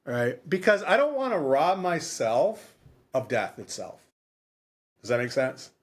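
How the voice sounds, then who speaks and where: A man co-hosting a podcast in casual chat, thinking aloud in a halting way. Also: mid-range voice, big pitch swings, husky. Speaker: podcast co-host.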